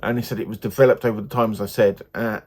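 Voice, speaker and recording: A man giving a casual, unscripted book review in a hesitant, halting, rambling way. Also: on the low side, flat delivery, husky.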